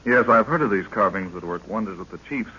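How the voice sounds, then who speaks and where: Brief: young adventurer's aide, quick pace, low voice, deferential, eager, noisy vintage radio. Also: male voice, animated, pushed and bright. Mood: brisk, respectful. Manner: brisk, deferential. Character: eager, respectful young male expedition assistant. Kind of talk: old-time radio drama acting. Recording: muffled, noisy old radio recording.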